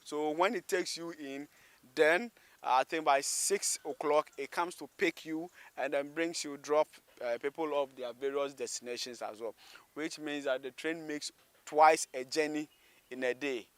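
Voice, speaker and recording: A Ghanaian man presents on location in a slightly high voice. He talks off the cuff in an emphatic way, circling back to repeat words and phrases so that they land. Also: measured pace, fairly bright tone, clear, resonant tone, dry recording. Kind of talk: spontaneous on-location commentary to camera. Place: outdoors beside a railway.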